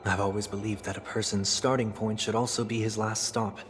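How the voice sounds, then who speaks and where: An actor playing a melancholic, refined young man in a game cutscene, speaking in measured, poetic, wistful phrases. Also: on the low side, level tone, close to an everyday voice. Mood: wistful, solemn. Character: melancholic young male fallen idealist, refined and soft-spoken. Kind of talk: dramatic video game cutscene acting. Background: music.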